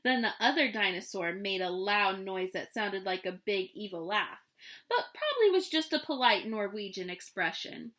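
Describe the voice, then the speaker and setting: A woman reading a children's storybook aloud in an expressive, playful, tongue-in-cheek manner. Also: medium pitch, moderate pace.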